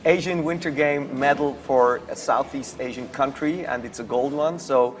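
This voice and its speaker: A man's voice: mid-range voice, moderate pace, a little hiss or hum. A man with a Swiss accent, an athlete guest telling his story casually in a studio interview. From Switzerland.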